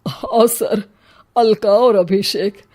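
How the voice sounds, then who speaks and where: A woman's voice: medium pitch, fairly even intonation, dark tone. A woman voicing a grieving elderly village headman in a melodramatic story, with a plaintive manner. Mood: sad, resigned. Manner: slow, plaintive.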